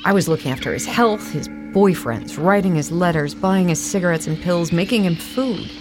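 Actress as low-voiced woman confessing painful memories. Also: lively intonation, moderate pace, lightly acted. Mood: regretful. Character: Monique, a woman bitterly recalling years of devoted servitude. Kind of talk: audio drama testimony monologue. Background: music.

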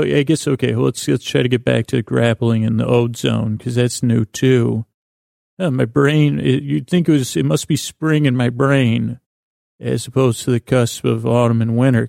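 Gravelly, creaky-voiced man rambling at bedtime. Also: mid-range voice, steady tone, darkish tone, no echo, studio quality. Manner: meandering, halting, repeats and trails off mid-thought; whimsical, silly, self-deprecating. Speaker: podcast host. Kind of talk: rambling bedtime monologue for listeners to fall asleep to.

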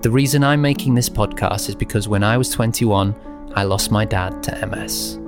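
Calm podcast host man over music. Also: on the low side, normal speed. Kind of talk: scripted intro then casual interview.

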